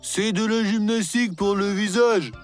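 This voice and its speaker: A man voicing a mild, inquiring cartoon character in a matter-of-fact manner, for an animated dub. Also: high voice, moderate pace, lightly acted. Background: background music.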